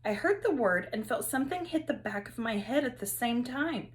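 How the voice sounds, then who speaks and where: A woman teacher reading a children's novel aloud in an expressive storytelling manner. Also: a little high, normal speed. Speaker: third grade teacher.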